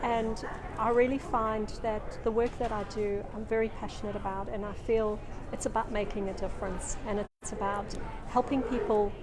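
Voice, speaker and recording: A woman's voice: mid-range voice, fairly even intonation, moderate pace, hardly any pauses. A middle-aged woman with a South African accent, a leadership coach speaking earnestly in long fluent sentences in an event interview. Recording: noisy event floor.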